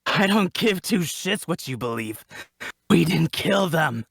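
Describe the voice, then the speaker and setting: An actor playing a defiant, foul-mouthed bandit survivor in a dramatic table read, husky and blunt in delivery. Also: mid-range voice, animated, a little slow, dry recording, studio quality, heavily acted. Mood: defensive, exasperated. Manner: blunt, emphatic, combative.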